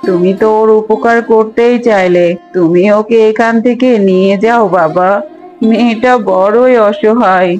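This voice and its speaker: A woman's voice: medium pitch, a little slow, a little mellow, lo-fi sound, soft background music, close to an everyday voice. A woman voicing a kindly, worried old village grandmother in a cartoon, affectionate and pleading. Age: older. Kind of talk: Bengali cartoon voice acting.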